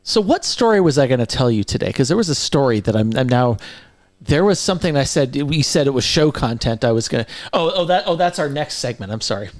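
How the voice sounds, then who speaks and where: Halting, stammering male podcast co-host. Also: medium pitch, animated, darkish tone. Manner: halting, stammering, repeats words. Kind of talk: casual chat.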